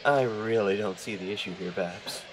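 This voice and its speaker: A man playing a cocky, image-conscious high school boy in an audio drama, glib and self-assured. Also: moderate pace, a little hiss or hum, natural voice. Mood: self-satisfied.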